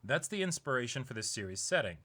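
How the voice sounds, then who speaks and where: A young man with a bright, crisp-edged voice sits in a small room hosting a casual video. He reads aloud in an informal, halting way, prone to chuckling between phrases. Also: mid-range voice, sing-song. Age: young adult. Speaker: YouTube unboxing host. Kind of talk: reading a viewer letter aloud, casual. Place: small indoor room, seated.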